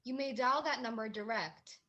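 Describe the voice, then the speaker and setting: A woman playing a polite, unflappable telephone operator in a 1940s-style radio drama, speaking in a formal, measured way. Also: a little high, sharp tone, highs cut off. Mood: unruffled, official. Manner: formal, measured, courteous, scripted.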